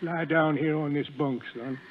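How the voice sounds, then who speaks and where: A man's voice: a little high, steady tone, a little slow, phone-quality sound, a little hiss or hum, lightly acted. An actor playing a feisty, protective old Southern father in a 1930s radio drama, blustery and folksy. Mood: reassuring. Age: older.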